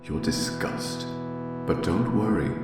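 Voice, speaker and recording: An actor playing a calm, knowing party official who is secretly sympathetic, speaking slowly and deliberately in a dramatized audiobook. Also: male voice, low-pitched. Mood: calm, conspiratorial. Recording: very echoey, distant, music behind.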